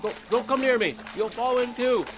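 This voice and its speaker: A man's voice: very high-pitched, normal speed, music in the background. A man playing an earnest, trusting young man, a loyal son, in an audio drama, with a hesitant, pleading manner. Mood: panicked.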